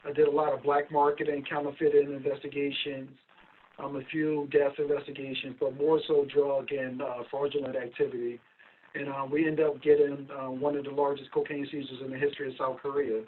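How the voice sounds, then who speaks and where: A middle-aged man from Philadelphia, a guest recounting his career in a measured, steady way with frequent ums. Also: mid-range voice. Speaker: guest, investigation company owner. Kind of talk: casual interview over a call. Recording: tinny phone-like line, distant mic.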